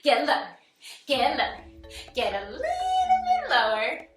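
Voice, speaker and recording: High, very animated woman leading children's story time. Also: a little slow. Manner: animated, singsong, instructing young children. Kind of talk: children's read-aloud and movement lesson. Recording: roomy echo, distant mic, music bed. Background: music.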